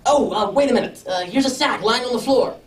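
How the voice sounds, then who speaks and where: A woman's voice: a little high, a little fast, natural voice. A woman playing an anxious male associate of a detective in a radio drama. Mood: anxious, puzzled. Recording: echoey room, distant microphone.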